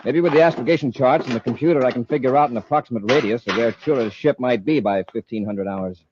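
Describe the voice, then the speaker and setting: An actor playing a commanding space patrol commander with clipped authority in 1950s radio drama style. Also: male voice, medium pitch, fast, lightly acted. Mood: thoughtful. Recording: muffled old radio recording with hiss.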